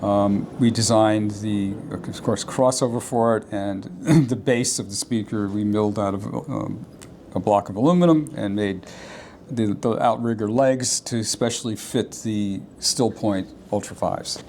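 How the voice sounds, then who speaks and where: A man's voice: on the low side, unhurried, darkish tone. A man who makes audio equipment answering interview questions off the cuff, hesitant and matter-of-fact. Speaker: audio system maker. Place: indoor exhibit room at audio show. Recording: faint show room noise.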